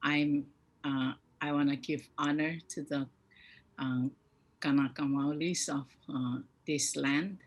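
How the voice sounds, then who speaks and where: A woman in her fifties with a notably low voice speaks very slowly. She is a community youth mentor introducing herself on a video call, halting and pausing between phrases. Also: steady tone. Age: 50s. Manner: slow, halting, with pauses and fillers.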